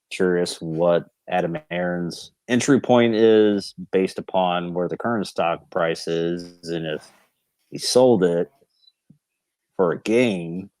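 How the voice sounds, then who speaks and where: A man's voice: sits low, slow pace, darkish tone, no room sound. Laid-back man in his thirties, dry sound. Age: 30s. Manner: casual, calls people bro and man, defensive. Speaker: call participant. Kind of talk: heated debate on a group call.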